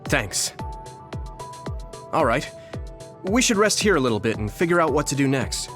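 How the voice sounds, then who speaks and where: Young male rebel soldier for anime game: earnest, steady, mid pitch, over music. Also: normal speed. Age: young adult. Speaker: video game voice actor. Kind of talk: anime game cutscene dialogue.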